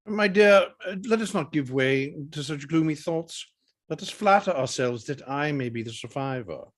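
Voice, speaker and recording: A man's voice: fairly high pitch, lively intonation, normal speed. A man playing a wry, teasing older father, genteel and sardonic, in a staged reading of a period comedy. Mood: dry consolation. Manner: droll, sardonic.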